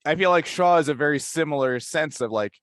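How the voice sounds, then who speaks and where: A man's voice: fairly high pitch, fairly quick, a bit bright, dry recording. Man in casual podcast talk, dry sound. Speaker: podcast panelist. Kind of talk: casual panel discussion of a TV series.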